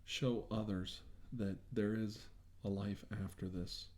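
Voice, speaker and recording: A man with a low, very mellow and relaxed voice plays a doctor in an improvised ASMR role-play. He speaks softly and reassuringly, with a hesitant, halting delivery. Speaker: ASMR role-player acting as a government doctor.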